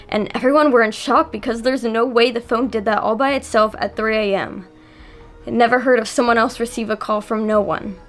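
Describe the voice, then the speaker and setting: A woman reading a scary story aloud as a storytime host and narrator. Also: fairly high pitch. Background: music.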